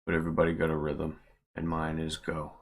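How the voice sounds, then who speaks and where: A man's voice: a bass voice, completely flat delivery, brisk. A man chatting casually on a livestream as he works, informally muttering his steps to himself. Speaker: streamer and mod maker. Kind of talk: casual livestream chat while editing.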